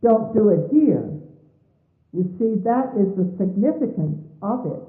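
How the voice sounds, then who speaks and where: A woman giving a devotional reading of transcribed sermons in a measured, deliberate, solemn way, as a religious leader reading scripture aloud. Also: mid-range voice, very animated. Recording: echoey 1970s phone-like narrowband recording.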